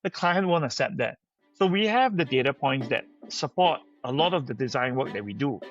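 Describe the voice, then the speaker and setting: A man's voice: mid-range voice, expressive, normal speed, lo-fi sound, faint music behind. A middle-aged man with a Singaporean accent, answering as a podcast guest in a conversational, anecdotal way. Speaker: company founder, podcast guest.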